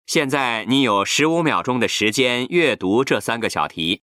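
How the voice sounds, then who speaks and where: A man reading formal test instructions in an even, announcing manner. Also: mid-range voice, moderate pace, no room sound, clean studio sound. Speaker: exam announcer.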